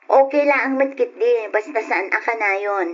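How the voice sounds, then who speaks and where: A woman playing a caring, gently insistent young wife and mother in a radio drama. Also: fairly high pitch, moderate pace, lightly acted. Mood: affectionate, insistent. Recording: dull lo-fi radio recording.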